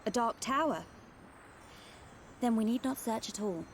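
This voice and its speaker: A woman playing a courteous, poised young noblewoman in a video game, speaking in a formal, measured way. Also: fairly high pitch, slight background noise, close to an everyday voice. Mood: earnest, grave. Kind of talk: video game cutscene acting.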